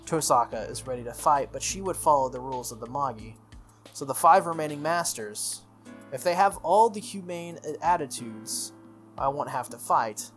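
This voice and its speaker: Guy reading out a visual novel playthrough, medium pitch, game music behind him. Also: expressive, moderate pace. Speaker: gaming channel host. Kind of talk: visual novel narration read aloud. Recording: music under the voice.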